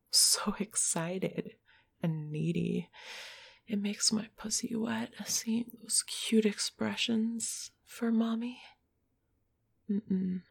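Slow, husky whispering woman. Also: medium pitch. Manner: soft breathy whispering, teasing and coaxing. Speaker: audio roleplay performer. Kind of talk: intimate whispered audio roleplay.

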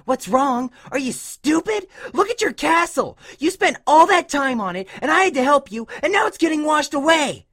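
A bullying female cartoon pony played by a woman, high in pitch and wildly animated. She berates with loud scorn and a hot temper, in the style of a dramatic story reading. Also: normal speed, few pauses. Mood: scornful, exasperated. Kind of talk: dramatic fan-fiction character acting.

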